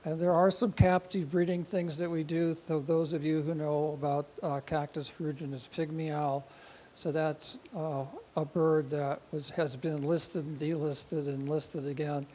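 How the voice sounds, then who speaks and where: Quiet, mellow-voiced man with a runny nose. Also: on the high side, level tone, measured pace, a little hiss or hum, rough recording. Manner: rambling, joking delivery. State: runny nose from cold air. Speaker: presenter at a conservation society talk. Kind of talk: informal public lecture. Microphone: microphone.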